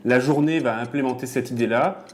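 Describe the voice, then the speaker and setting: Man, documentary interviewee; warm low voice, hesitant explanatory delivery. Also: brisk. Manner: explanatory, many hesitations with euh. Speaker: animation professional, scriptwriter or storyboard artist.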